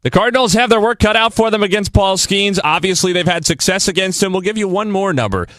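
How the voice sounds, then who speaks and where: Man, sports radio host, slightly high voice, dry close sound, live pregame broadcast talk. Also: fast talker, fairly bright tone, no room sound.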